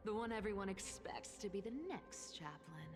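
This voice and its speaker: A woman's voice: medium pitch, normal speed, natural voice. A woman voicing a sly, playful female thief who is greedy for treasure, musing aloud theatrically in a video game.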